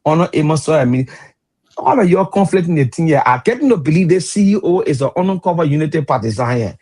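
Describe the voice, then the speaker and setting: Husky Liberian man in his fifties. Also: fairly high pitch, fast. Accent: Liberian English. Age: 50s. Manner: animated, laughs mid-sentence, searches for words. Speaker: radio talk show panelist. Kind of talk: heated political debate.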